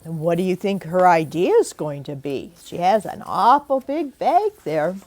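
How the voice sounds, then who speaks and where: Older woman, grandmotherly children's read-aloud, unhurried pace, animated intonation, speaks directly to young listeners. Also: lowish voice. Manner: slow and deliberate, with asides and questions to young listeners. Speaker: grandmother reading a picture book aloud. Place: indoors at home.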